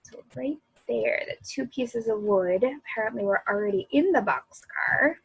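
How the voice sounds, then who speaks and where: A woman reading a children's story aloud as a library storyteller, in an expressive, animated way. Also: fairly high pitch, gravelly, slightly rough, rough recording. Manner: animated, pausing to comment on the story.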